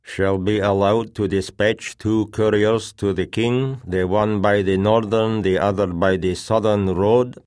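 A man's voice: fairly low pitch, fairly even intonation, measured pace, hardly any pauses, a little mellow, smooth, dry recording, studio quality, voice pushed up, lightly acted. A man voicing a droning officer who formally reads out a document in an audiobook. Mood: official. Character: droning male officer reading out a formal agreement. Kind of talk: dramatized audiobook reading.

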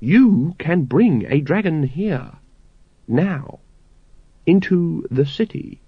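A man voicing a smooth, manipulative male cult leader who speaks slowly and deliberately, in a dry, dull recording. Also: a little high, lively intonation, no echo, voice pushed up, heavily acted. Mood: sinister.

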